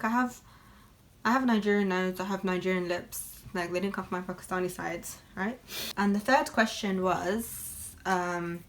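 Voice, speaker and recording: Chatty young woman vlogging. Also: medium pitch. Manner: chatty and candid, lots of fillers. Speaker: beauty vlogger. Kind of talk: casual get-ready-with-me chat.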